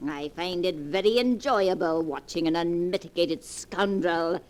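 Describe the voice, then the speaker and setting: An actress playing a rich, sharp-tongued old grandmother with a dry, haughty, cutting delivery in 1940s radio drama style. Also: fairly low pitch, a little slow, natural voice. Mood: sly, amused. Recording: vintage 1940s radio recording.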